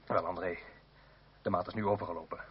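A man's voice: low voice, steady tone, fast, lightly acted. An actor playing an earnest male politician in a radio drama, explaining and placating, at times hesitant. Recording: dull noisy old radio recording.

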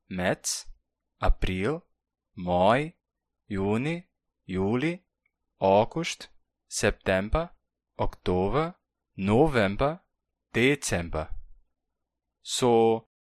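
Male reader, lowish voice, Hunsrik German accent, asks simple learner questions, dry studio. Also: no room sound. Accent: Brazilian Hunsrik German. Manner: plain, questioning learner's delivery. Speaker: language course reader. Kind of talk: scripted textbook dialogue.

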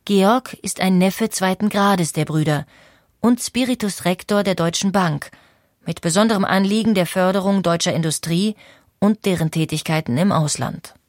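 A woman narrating a radio documentary in a calm, even way. Her voice sits a little low for a woman. The recording is close and dry. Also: normal speed, dry recording.

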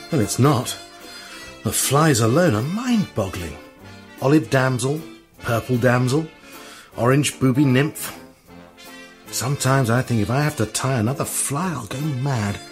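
A man's voice: mid-range voice, expressive, normal speed, soft background music, natural voice. Actor as plaintive fishing tackle man. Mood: weary, listing. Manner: plaintive, earnest, self-pitying. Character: woebegone, self-pitying fishing tackle shop man. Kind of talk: radio comedy drama acting.

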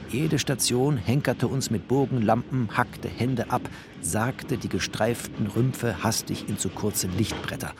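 Male narrator, weary bookish traveller, medium pitch, creaky gravel, terse deliberate delivery, literary, noisy background. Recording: clear noisy ambience behind the voice.

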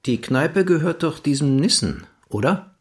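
An actor playing a casual, dismissive writer husband in an audio drama, with a laconic, offhand way of talking. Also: male voice, on the high side, lively intonation, measured pace, breathy edge, soft background music, full character voice. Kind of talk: German audio drama acting.

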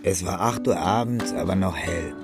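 Gravelly, fairly low man telling a crime story over music. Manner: measured storytelling. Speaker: narrator. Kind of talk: scripted narration.

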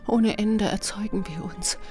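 A woman's voice: medium pitch, a little slow, close to an everyday voice. An actress playing a solemn, passionate woman in love, speaking lyrically and earnestly in a poetic radio drama. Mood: tender, solemn. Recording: music behind the voice.

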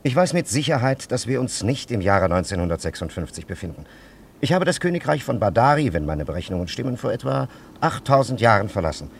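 An actor playing a solemn, condescending elderly scholar from antiquity in a radio play, with archaic and over-courteous diction. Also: male voice, medium pitch, big pitch swings, brisk, gravelly, slight background noise. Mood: offended, condescending. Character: solemn, condescending ancient scholar and time traveller, elderly man.

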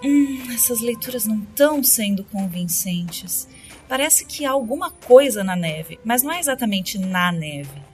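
A woman's voice: a little high, animated, unhurried, soft background music. A woman playing a preoccupied, kindly adventuring queen in an audio drama, speaking in a conversational, pondering way. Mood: puzzled.

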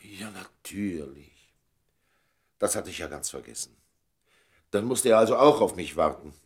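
An actor playing a courteous, absent-minded mature boss in a radio drama, with a gravelly, husky voice and a muttering, weary manner. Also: male voice, low-pitched, expressive, measured pace, exaggerated voice. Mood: regretful.

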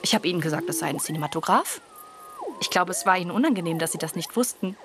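A woman's voice: on the low side, animated, fast talker, background noise, lightly acted. An actress playing a brave, devoted young female wartime secret agent in a radio drama. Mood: excited, confiding.

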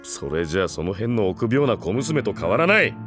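A man's voice: on the low side, sing-song, moderate pace. An actor playing an obsessed, domineering man, cold and commanding, in a dramatic audio drama. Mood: cold, obsessive. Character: obsessed, domineering, cruel man tormenting a captive girl. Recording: loud string music over voice.

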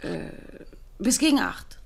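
A woman's voice: slow pace, slight background noise, lightly acted. An actress playing a friendly, assured young engaged woman giving testimony in a German radio crime drama. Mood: friendly, sure.

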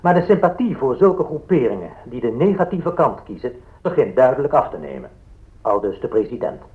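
An actor playing a formal newsreader in a radio play, delivering statements in a measured manner. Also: male voice, medium pitch, sing-song, natural voice. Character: formal male newsreader reporting a presidential statement. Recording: dull, lo-fi radio recording.

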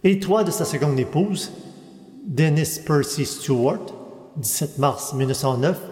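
A man reading a scripted biographical narration in a steady, measured way, working through dates and names. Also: a little high. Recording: echoey hall with faint music.

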